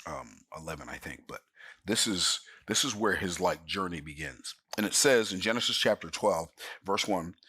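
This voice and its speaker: A man's voice: low-pitched, expressive, normal speed, pushed and bright, husky, dry recording. A man in his sixties teaching the Bible in an emphatic, folksy preaching manner. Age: 60s. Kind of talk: sermon-style Bible study.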